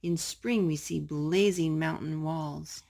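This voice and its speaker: Woman, storytime reader, lowish pitch, gravelly creak, picture-book read-aloud. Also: steady tone, slow, mellow. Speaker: storytime host reading aloud to a child.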